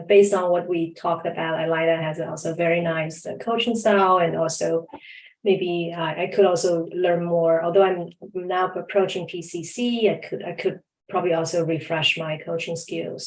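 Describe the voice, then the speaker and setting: A woman with a slightly low voice asks a curious, thoughtful question in an online class. She sounds roomy and echoey over the video call. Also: darkish tone. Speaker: student coach.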